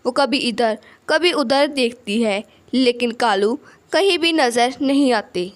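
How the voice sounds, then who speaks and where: A woman's voice: a little high, takes it slowly. A woman narrating a scripted children's story.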